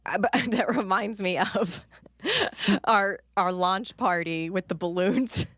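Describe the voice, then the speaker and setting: Candid, swearing young actress. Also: female voice, medium pitch, lively intonation, a bit bright, no echo, studio quality. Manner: swears freely, laughs often, quick asides. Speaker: podcast co-host. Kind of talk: casual chat. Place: recording studio with a drummer nearby.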